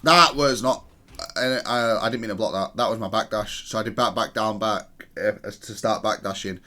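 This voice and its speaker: A man with a fairly low voice talks through his own gameplay. His delivery is analytical and matter-of-fact, with a self-critical streak. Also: normal speed, pushed and bright. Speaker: gamer reviewing own match.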